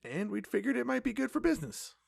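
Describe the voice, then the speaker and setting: A man acting a polite, earnest cafe owner in a fantasy audio drama, speaking in a measured way. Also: very high voice, sing-song, vocal fry, dry recording, clean studio sound, heavily acted. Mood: polite, careful. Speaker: voice actor in a fiction podcast.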